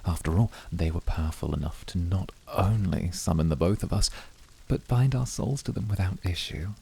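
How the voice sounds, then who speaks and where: A man plays a cool male incubus in an ASMR roleplay, his voice very husky and full of gravelly creak. He speaks darkly and formally, with dry teasing and courtly devotion. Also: low voice, warm tone, heavily acted. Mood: cool, challenging. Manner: formal, measured phrasing.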